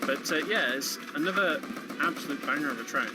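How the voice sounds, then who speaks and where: A man's voice: fairly high pitch, a little fast, rough recording. A man giving casual, explanatory live commentary on a video game, speaking informally like a speedrun commentator. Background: video game music.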